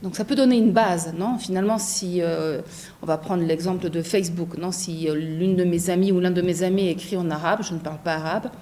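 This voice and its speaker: A middle-aged woman from France, a translator, answering interview questions thoughtfully with many pauses and a habit of asking "non?". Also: fairly low pitch, fairly even intonation, dark tone. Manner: thoughtful, many fillers, frequent tag "non?".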